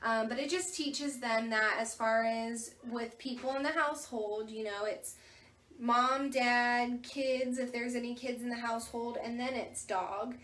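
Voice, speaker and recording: A woman from a veterinary clinic gives casual tips on puppy care, with a soft voice and lots of "you know" fillers. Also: a little high, fairly even intonation, unhurried. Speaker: veterinary staff member. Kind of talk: informal instructional talk. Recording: echoey room, distant mic.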